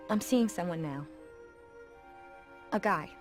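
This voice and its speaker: A woman's voice: on the low side, animated, slow pace. A young woman voicing a guarded, wounded love interest in a video game, speaking plainly and pointedly over music. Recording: music behind the voice.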